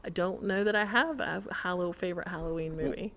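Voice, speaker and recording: American woman, lowish creaky voice, chatting casually. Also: a little fast, a bit bright. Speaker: podcast guest or co-host. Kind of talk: casual chat.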